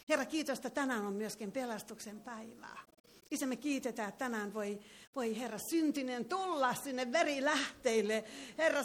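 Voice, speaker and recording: A woman leads a fervent charismatic prayer in a meeting hall. Her voice sits somewhat high, and she breaks into tongues between phrases. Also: a bit bright. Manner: fervent, prays with tongues interjected. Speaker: preacher.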